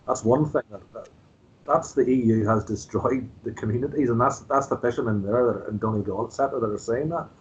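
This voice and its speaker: Irish male, steady pitch, lo-fi dull recording, measured talk with "uh" fillers. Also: husky. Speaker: political party member. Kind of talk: casual political discussion. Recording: dull lo-fi audio with faint hiss.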